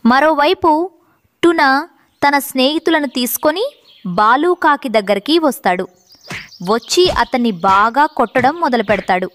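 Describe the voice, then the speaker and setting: A woman's voice: high voice, lively intonation, measured pace, long pauses, a bit bright, slight background noise. A woman reading scripted narration for a children's story.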